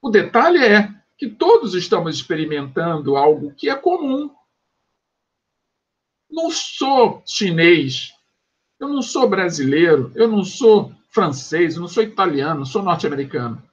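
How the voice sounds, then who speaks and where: A Brazilian man teaching a sociology class in an online lecture, explaining step by step and pausing to check that students follow, in a dull lo-fi home recording. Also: high voice, very animated, husky. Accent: Brazilian Portuguese. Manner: explanatory, checks understanding with quick asides.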